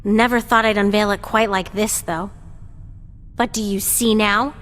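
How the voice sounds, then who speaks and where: A woman's voice: medium pitch, steady tone, measured pace, a bit bright, lightly acted. An actress playing a determined young woman in a video game, earnest and assertive, out to prove she has grown up. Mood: earnest, resolute. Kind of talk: English video game dub acting. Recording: echoey hall reverb.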